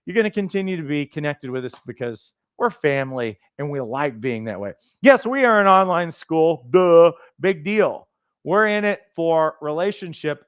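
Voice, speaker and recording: A man with a raspy voice hosting a livestream, giving a fast, emphatic, motivational pitch for coach training. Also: no echo, dull audio. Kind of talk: energetic sales pitch on a livestream.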